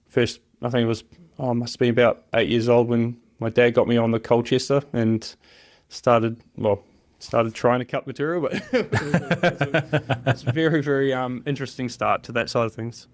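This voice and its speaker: A man's voice: mid-range voice, steady tone, normal speed. A man from New Zealand with a New Zealand accent, talking casually and hesitantly as an interview guest, rambling through personal stories. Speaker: interview guest, job shop machinist.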